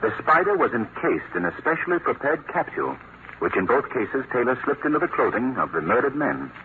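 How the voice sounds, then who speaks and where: An actor playing a suave, self-assured gentleman detective, measured and urbane, in an old-time radio drama. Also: medium pitch, big pitch swings, narrow, tinny audio, natural voice. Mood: calm, explanatory. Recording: phone-thin vintage radio, faint noise.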